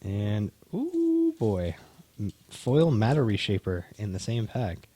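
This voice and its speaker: Relaxed, low-voiced man chatting casually. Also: husky, no room sound. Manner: relaxed, halting, with many restarts. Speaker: co-host opening card packs. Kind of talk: casual chat.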